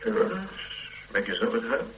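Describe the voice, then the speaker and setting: A man's voice: mid-range voice, sing-song, a little slow, a little hiss or hum. A man playing a dry, sardonic senior police officer in a radio drama. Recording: roomy radio drama recording.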